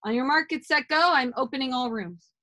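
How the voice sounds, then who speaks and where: A woman's voice: high voice, fairly quick, sharp tone. A woman in her thirties teaching a class over a video call from home, talking casually with many fillers. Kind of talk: online class instruction. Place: home room with art on walls. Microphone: laptop webcam video call.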